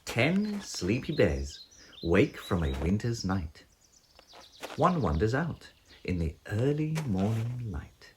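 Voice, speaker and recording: A man reading a children's picture book aloud as narrator, with expressive sing-song, deliberate phrasing. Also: fairly low pitch. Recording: faint background noise with sound effects.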